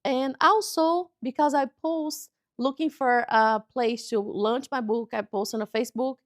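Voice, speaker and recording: Brazilian-accented woman, halting and cheerful. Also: sits high, no echo, studio quality. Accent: Brazilian Portuguese. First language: Portuguese. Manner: halting, searching for words, cheerful. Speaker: guest author. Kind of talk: casual interview chat. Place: local TV studio.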